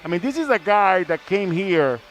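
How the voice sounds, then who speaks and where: A man with a high-pitched voice hosts a casual sports panel. His phrasing is deliberate and halting, and a noisy arena surrounds him. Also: lively intonation. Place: large indoor arena. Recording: noisy arena ambience behind.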